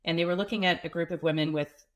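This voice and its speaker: A woman with a cold and a slightly stuffy, nasal voice, a pelvic health expert calmly explaining things as an interview guest. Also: fairly low pitch, fast, dull audio. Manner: earnest, explanatory, some restarts. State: has a cold, sniffling.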